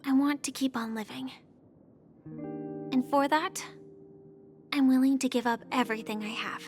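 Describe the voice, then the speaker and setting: Gentle young game heroine: high, polite, measured and earnest. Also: female voice, steady tone, faint music behind. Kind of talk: scripted game dialogue.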